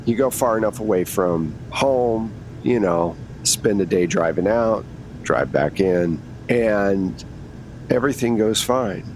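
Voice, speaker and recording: Man as matter-of-fact older female shoplifter, casual and rambling, tabletop roleplay, faint rumbly noise. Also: lively intonation, takes it slowly, a little mellow. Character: chatty older woman shoplifter in jail, late sixties.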